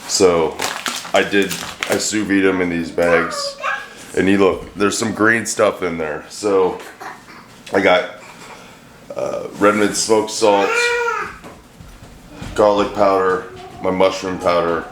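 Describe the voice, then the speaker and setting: Brief: middle-aged man, very low and a bit hoarse, rambling dry humor, echoey home kitchen with faint hiss. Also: fairly even intonation, slow pace, pauses often. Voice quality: deep, a little hoarse from a throat bug. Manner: joking, rambling, dry self-deprecating humor. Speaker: vlogger, home cook. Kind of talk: casual cooking vlog chat.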